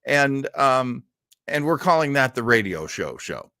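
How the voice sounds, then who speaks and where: A man's voice: mid-range voice, big pitch swings, a little slow, bright, forceful tone, dry recording. A man hosting a live show in a casual, rambling way, self-deprecating and scattered, often hesitating as he goes. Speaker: livestream host.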